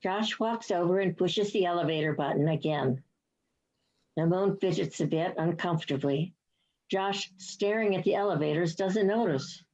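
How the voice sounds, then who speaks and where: A woman's voice: on the low side, normal speed, a little mellow. A woman reading stage directions as scripted narration, on a dull lo-fi recording. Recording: dull, muffled lo-fi sound.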